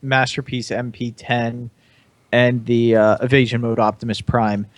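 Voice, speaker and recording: A man's voice: medium pitch, flat delivery, unhurried, dry recording. A man chatting casually as a panelist on a hobby podcast.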